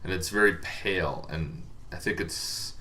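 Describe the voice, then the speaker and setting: A man's voice: sits low, a little slow, pushed and bright. A man hosting a show on tabletop gaming lore, explaining things informally and in a rambling way to viewers. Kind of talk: casual explainer talk to viewers.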